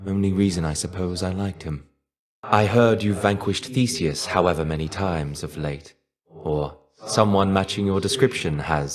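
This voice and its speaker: A fallen warrior's shade for a video game, low in pitch and weary. He speaks in measured, formal, slightly archaic phrases. His manner is melancholy and guarded, with an understated wryness. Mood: wistful. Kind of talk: video game character voice acting.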